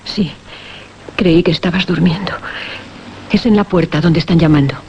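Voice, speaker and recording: A woman's voice: fairly low pitch, normal speed, lightly acted. A woman playing a frightened, insistent young student in a radio drama, speaking urgently. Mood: uneasy. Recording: dull, noisy old radio drama recording.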